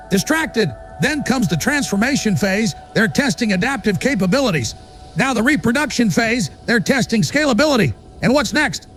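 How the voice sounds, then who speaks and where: A man acting a paranoid, high-pitched, gravelly conspiracy broadcaster. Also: fairly bright tone, full character voice. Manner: urgent, fervent, insistent. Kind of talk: audio drama monologue as pirate radio broadcast.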